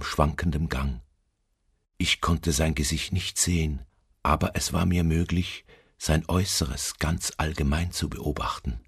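Very deep, gravelly haunted seafarer. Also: male voice, no room sound, clean studio sound, heavily acted. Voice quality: very deep, gravelly, husky, at times near whisper. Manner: slow, brooding, solemn, with drawn-out words. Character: haunted male seafarer recounting his doom aboard a ghost ship. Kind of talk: literary radio drama monologue.

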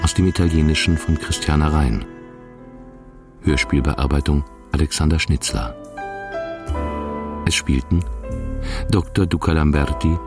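A man's voice: extremely low pitch, unhurried, long pauses. A man reading the closing credits of a radio play as a scripted announcement. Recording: music under the voice. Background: music.

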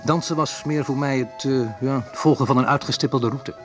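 A man's voice: medium pitch, brisk, music in the background, lightly acted. An actor playing a wistful, reflective man who recalls awkward teenage love in an intimate radio play monologue. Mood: wry recollection.

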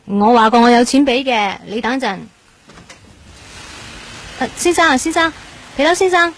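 A woman's voice: high-pitched, animated, moderate pace, slight background noise. An actress playing an earnest, flustered young woman in a radio drama, speaking Hong Kong Cantonese in a polite, insistent, talkative way. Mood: embarrassed, anxious.

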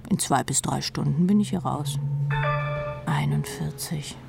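A deep, creaky-voiced woman, a jaded chain-smoking rock drummer. She murmurs her sardonic thoughts breathily and confidingly, as a radio drama monologue over clear music. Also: animated, measured pace, heavily acted. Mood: sardonic, murmuring. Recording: music behind the voice.

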